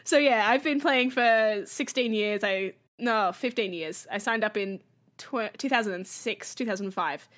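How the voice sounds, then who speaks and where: A woman's voice: mid-range voice, measured pace, fairly bright tone. A young woman from Australia, guest on a podcast, chatting casually and poking fun at herself. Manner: self-deprecating, laughs often. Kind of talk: casual interview chat.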